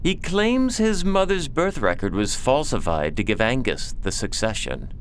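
An actor playing a loyal, courtly knight in an audio drama, formal and dry in manner. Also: male voice, medium pitch, sing-song, unhurried, a little hiss or hum. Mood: explanatory, dry. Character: loyal knight, courtly male cousin to a lord.

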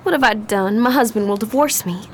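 Woman as a high, fretful society wife. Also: brisk, slight background noise, close to an everyday voice. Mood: distraught. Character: fretful, naive married society woman gambler. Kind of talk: 1920s crime radio drama acting.

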